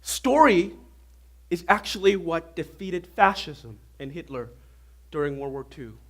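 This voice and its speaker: A pastor preaches with a hoarse, raspy voice as he gets over a flu, his pitch sitting high for a man. He talks in an animated way and keeps quizzing the congregation with questions. He is speaking in a church hall before an audience. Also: a little slow. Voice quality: normally deep voice, now raspy. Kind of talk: sermon.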